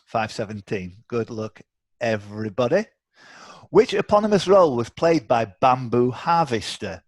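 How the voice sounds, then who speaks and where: A British man hosts a live online quiz in a chatty, bantering way. His voice sits at a medium pitch. The sound is dry, with little room around it. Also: sing-song, moderate pace, no room sound. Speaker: quiz host. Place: indoors, on a video call.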